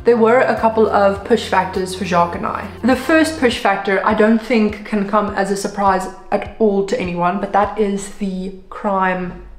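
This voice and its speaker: A woman's voice: mid-range voice, fairly even intonation, moderate pace, echoey room, faint music behind. A woman in her twenties from South Africa with a South African accent, a native Afrikaans speaker, chatting upbeat to camera in a vlog. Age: 20s. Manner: chatty and upbeat, with frequent ums. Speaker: vlogger. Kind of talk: casual vlog talk to camera.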